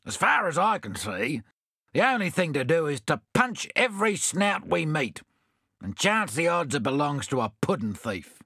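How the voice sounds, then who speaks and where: A man's voice: on the high side, very animated, moderate pace, sharp tone, slightly rough, no echo, studio quality, exaggerated voice. A man voicing a grumbling old male koala sailor in a storybook. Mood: indignant. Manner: grumbling, blustering.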